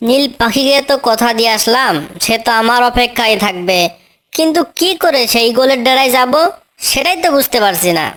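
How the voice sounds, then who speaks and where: Woman as a high-pitched kind little tailorbird. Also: lively intonation, fairly quick, a bit bright. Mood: determined.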